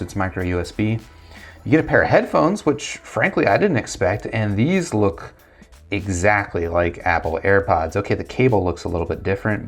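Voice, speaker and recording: An American man in his thirties talks casually through a gadget review. His voice sits low, picked up by a lapel mic in a small studio, with faint music behind him. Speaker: tech product reviewer. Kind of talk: casual unboxing review talk to camera.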